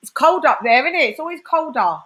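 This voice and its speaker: Bright-voiced London woman chatting. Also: high voice, animated, fast. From West London. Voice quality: chatty, reading out comments. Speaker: livestream host. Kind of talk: casual chat.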